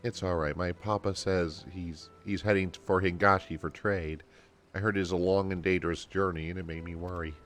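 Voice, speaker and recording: A man's voice: low voice, fairly even intonation, brisk, fairly bright tone, soft background music. A man voicing a polite, worried young girl from a fantasy game, reading her lines in a simple, earnest way. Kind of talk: game dialogue read aloud.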